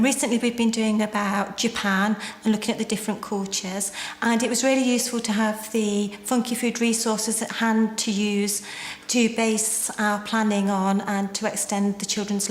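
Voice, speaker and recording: A primary school teacher, a woman, calmly explaining how she uses lessons in class. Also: mid-range voice, a little hiss or hum. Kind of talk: interview answers. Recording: echoey reverberant room, faint ambience.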